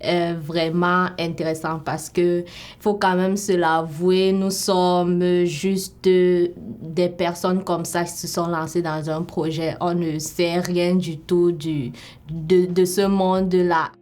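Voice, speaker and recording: Reflective woman, lowish voice. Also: little pitch movement, takes it slowly, a little mellow. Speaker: documentary participant, scriptwriter. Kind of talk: documentary interview.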